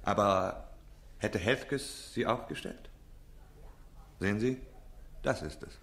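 An actor playing a witty, theatrical gentleman in a German radio play, speaking with ironic flourish. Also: fairly low pitch, takes it slowly. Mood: wryly amused. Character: Gilroy, dapper, witty, theatrical male colleague.

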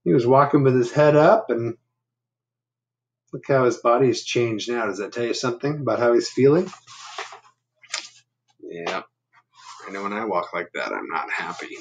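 A man in his fifties reading a picture book aloud to children, adding chatty asides and gentle questions. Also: mid-range voice, expressive, normal speed, long pauses, breathy edge, dull audio. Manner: asking the listeners gentle questions. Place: living room at home. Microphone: laptop webcam at arm's length.

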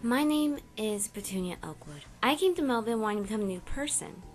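A woman's voice: a little high, brisk, soft background music, lightly acted. A woman voicing an earnest, curious, jumpy young woman who acts and narrates in a webcomic dub. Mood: wistful. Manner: earnest, expressive. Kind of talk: dubbed webcomic acting and narration.

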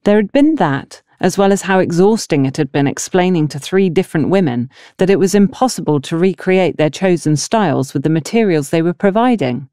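A woman reading a novel aloud as an expressive audiobook narrator. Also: on the low side, fairly quick, hardly any pauses, no room sound, clean studio sound. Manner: expressive storytelling with acted dialogue.

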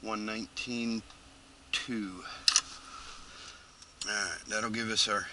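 A man working as an HVAC technician, calmly talking through his readings as he works. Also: fairly low pitch, slow, echoey room, big-room sound, a little hiss or hum. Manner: methodical, talks through readings. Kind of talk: narrating work on camera. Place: attic, garage and outdoors in snow. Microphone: head-mounted action camera.

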